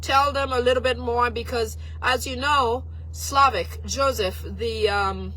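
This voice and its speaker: Hoarse, raspy woman commentator. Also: fairly high pitch, fairly even intonation, a little slow, pushed and bright, poor audio. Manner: talks to viewers and reads their comments aloud; pointed and critical, with frequent fillers and restarts. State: hoarse from a flu. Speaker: political commentator on a live stream. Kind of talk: live-stream political commentary.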